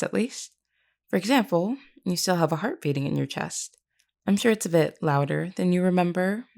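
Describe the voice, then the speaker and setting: A woman playing a gentle, courteous vampire queen in an intimate audio roleplay, speaking politely and reassuringly. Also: fairly low pitch, vocal fry. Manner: polite, soft-spoken reassurance with hesitant asides.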